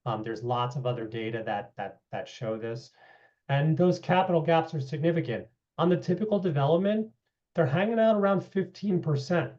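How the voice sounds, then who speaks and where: A slightly hoarse man giving a public meeting presentation, walking through figures with frequent asides of "okay" and "you know". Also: a little high, moderate pace, darkish tone. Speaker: housing policy presenter. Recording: dull lo-fi meeting audio.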